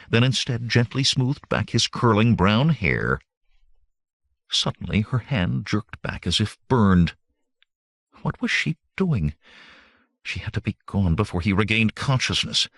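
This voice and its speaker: A male audiobook narrator with a low voice reads fantasy fiction from a script. His delivery is animated and varied in pitch, and the sound is dry, with no room on it. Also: unhurried, vocal fry, no echo.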